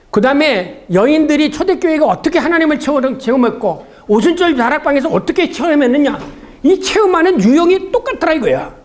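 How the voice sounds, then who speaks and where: A man giving a theology seminar lecture in a conversational, didactic way, often asking rhetorical questions and answering them himself. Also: very high-pitched.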